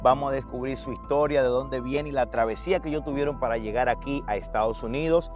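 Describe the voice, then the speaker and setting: A man's voice: medium pitch, fast, music in the background. A man hosting a casual interview, upbeat, dropping English words into his Spanish. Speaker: host. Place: USA.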